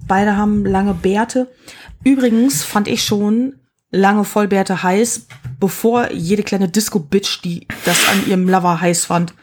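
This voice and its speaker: A woman's voice: mid-range voice, fairly even intonation, slow. A woman in her forties chatting casually, frank and blunt, with easy laughter and slangy talk. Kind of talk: casual chat.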